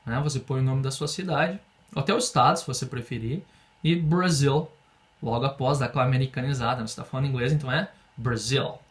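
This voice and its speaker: A young man from Brazil teaching an English lesson in Portuguese, explaining patiently and having students repeat phrases slowly. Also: medium pitch. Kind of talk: online language lesson.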